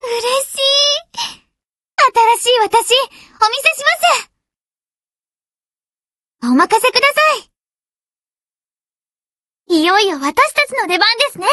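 Eager, very high-pitched anime girl. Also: lively intonation, slow, pauses often, sharp tone, no room sound, clean studio sound, full character voice. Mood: eager, cheerful. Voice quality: girlish, sweet. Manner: polite, earnest. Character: cheerful, clumsy, eager young warship girl. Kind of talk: anime game character voice lines.

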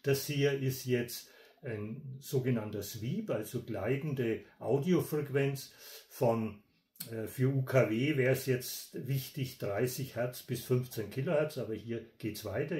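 An older man in his sixties or beyond giving an explanatory technical demonstration in a halting, deliberate manner, with frequent hesitations. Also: mid-range voice, darkish tone. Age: 60s or older. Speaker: presenter of a technical radio channel. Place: small indoor room. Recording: echoey room, distant microphone.

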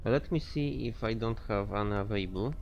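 A man's voice: on the low side, normal speed, creaky, darkish tone, dull audio, faint music behind. A man giving casual gameplay commentary, thinking aloud and swearing freely as he plays. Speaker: gamer commentating own gameplay.

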